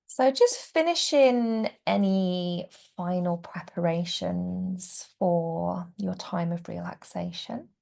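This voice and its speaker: Female meditation guide, lowish gentle voice with heavy fry, hushed at phrase ends. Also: slow. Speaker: yoga nidra teacher guiding relaxation.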